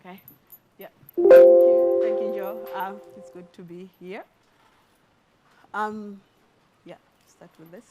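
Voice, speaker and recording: A middle-aged woman from Zimbabwe, an artist giving a talk with a spoken-word poem, delivered in a slow, rhythmic, measured way. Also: mid-range voice, fairly even intonation, loud music behind the voice, rough recording. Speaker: artist and speaker.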